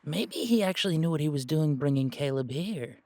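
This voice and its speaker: A man playing an earnest, anxious young werewolf who thinks aloud haltingly in an audio roleplay. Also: fairly high pitch, lively intonation, mellow, no room sound. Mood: puzzled, thoughtful. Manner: hesitant, rambling, halting.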